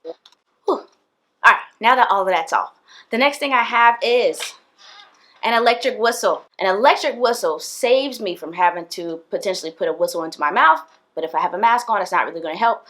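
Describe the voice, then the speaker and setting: A woman's voice: fairly low pitch, a bit bright. A young woman, a PE teacher, giving casual tips straight to camera in a small room, chatty and direct with lots of fillers. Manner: chatty and direct, addresses viewers, frequent fillers. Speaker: PE teacher and video host. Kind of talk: casual talking-head tips video. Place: indoors, small room with plain wall.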